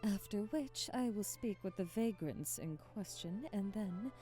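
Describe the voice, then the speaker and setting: A woman's voice: medium pitch, animated, measured pace, mellow, soft background music, lightly acted. A woman playing a haughty, aristocratic vampire hostess in an ASMR audio drama, speaking in a formal, theatrical and commanding way. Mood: controlled, commanding.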